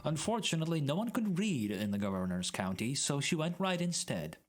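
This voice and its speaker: A man's voice: a little high, big pitch swings, a little fast. A man giving a dramatic, mock-serious reading of a comic story, addressing the listener directly. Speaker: storyteller, streamer reading aloud. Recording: music added behind the voice. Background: music and sound effects.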